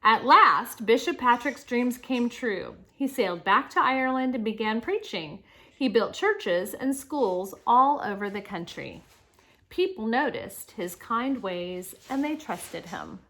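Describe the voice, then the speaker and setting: A woman's voice: a little high, lively intonation, a little slow, bright, forceful tone. A woman teacher reading a picture book aloud to children, explaining things and speaking to her young listeners directly with casual asides.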